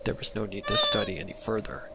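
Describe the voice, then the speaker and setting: A man reading a scripted dark mystery story as its narrator. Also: lowish voice, wildly swooping pitch, quick pace, very noisy. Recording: loud music under the voice. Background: loud music.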